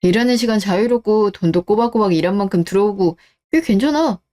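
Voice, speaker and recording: A woman's voice: mid-range voice, fast, warm tone, close to an everyday voice. A woman voicing an easygoing, self-reliant husband and son in a scripted radio drama, casual and mildly defensive.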